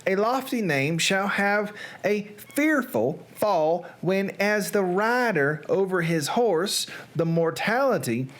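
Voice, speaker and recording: A performer putting on an American Southern accent as the narrator of an old gothic horror tale, reading dramatically and halting on long words. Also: mid-range voice, lively intonation. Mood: solemn, measured.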